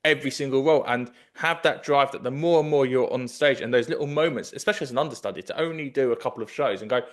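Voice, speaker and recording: A man in his thirties hosts a podcast interview with a medium-pitched voice. He asks long, casual questions. He speaks into a desk microphone in his kitchen at home. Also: fast talker. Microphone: desk microphone and headphones.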